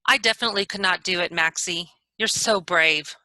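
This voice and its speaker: A very bright, forward-sounding young 1940s woman played by an actress in a play reading. She is admiring and a little squeamish, and the video call sound has no room echo. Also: fairly low pitch, normal speed. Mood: anxious, admiring. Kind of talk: dramatic table read of a stage play. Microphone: computer mic on a video call.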